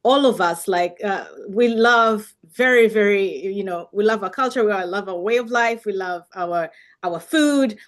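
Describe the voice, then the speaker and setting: A woman's voice: medium pitch, unhurried. A woman from Uganda giving formal remarks over a video call, earnest, with frequent fillers and restarts. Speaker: health communication speaker.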